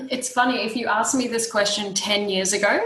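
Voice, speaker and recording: Woman guest talking candid and lively, echoey room. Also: medium pitch, normal speed, slight background noise. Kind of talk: casual interview chat. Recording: roomy echo.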